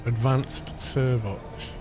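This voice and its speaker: Male streamer, baritone, laid-back running talk over game music, muttering asides. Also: drawn-out words. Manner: relaxed, muttering to himself between remarks. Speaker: gaming streamer. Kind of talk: casual live-stream commentary while playing. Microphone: studio microphone with low cut. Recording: game music playing behind the voice.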